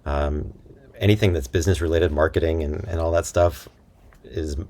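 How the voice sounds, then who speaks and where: A man with an extremely low, deep voice, talking as an interview guest. His delivery is casual and a little halting, as he works through his thoughts aloud. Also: fairly even intonation, darkish tone. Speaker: interview guest, audio drama creator and voice actor.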